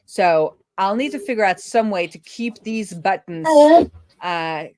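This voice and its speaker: A woman's voice: mid-range voice, lively intonation, a little slow, no echo. A woman doing casual live coding commentary as a streaming developer, thinking aloud as she goes.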